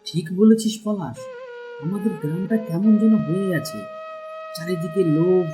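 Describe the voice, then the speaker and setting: A man's voice: sits high, normal speed, lightly acted. A man voicing a worried, earnest village man in a Bengali cartoon, sounding concerned and puzzled. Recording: music behind the voice.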